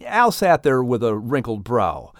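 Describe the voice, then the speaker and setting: An expressive woman reads a children's storybook in a close, dry recording. The delivery is animated, with a pitch that moves freely from phrase to phrase. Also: unhurried, no echo. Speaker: audiobook narrator. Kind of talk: scripted children's storybook narration.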